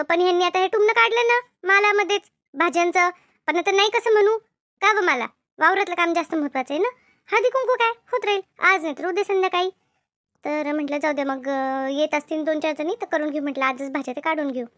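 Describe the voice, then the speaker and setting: Lady doing a super high cartoon village housewife, talkative, practical, rural maharashtrian accent, no room echo. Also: fairly bright tone. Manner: chatty, colloquial, hesitant. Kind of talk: animated comedy cartoon dialogue.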